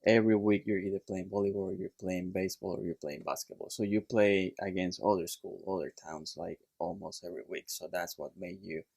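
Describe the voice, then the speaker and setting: A man from Puerto Rico with a Puerto Rican accent, a chiropractor guest telling his story in a casual interview, relaxed and plain with fillers. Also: deep voice, fairly quick, creaky, hardly any pauses, dry recording. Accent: Puerto Rican Spanish.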